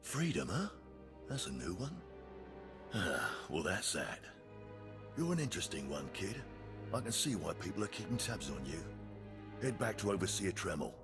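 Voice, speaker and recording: An actor playing a gruff, wry, worldly male prison jailer in a video game, with an offhand manner. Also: deep voice, sing-song, normal speed, pauses often. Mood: amused. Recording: loud music behind.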